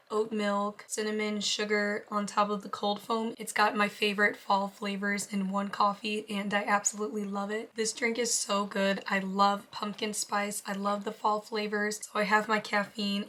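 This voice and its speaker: A woman with a raspy voice, still recovering from laryngitis, narrating a casual vlog as its host. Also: medium pitch, fairly bright tone. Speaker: vlog host, small art business owner. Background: background music.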